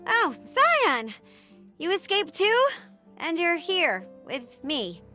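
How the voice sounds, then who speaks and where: Game voice line: a chaotic young blood-weapon girl, bright sharp high voice, unhurried, wildly swooping, faint music behind. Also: huge pitch swings, exaggerated voice. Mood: excited. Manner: playful, giddy.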